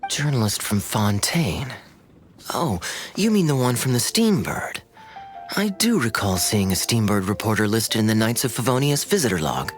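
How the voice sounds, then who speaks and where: Suave, teasing young knight, lively pitch. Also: male voice, music in the background. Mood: intrigued, coy. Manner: smooth, unhurried, playfully coy. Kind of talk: video game cutscene acting.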